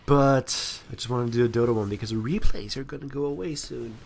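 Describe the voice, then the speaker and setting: A man's voice: mid-range voice, a little fast, gravelly, a little hiss or hum. Rambling man giving casual game commentary. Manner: rambling, self-deprecating. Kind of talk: casual amateur gameplay commentary.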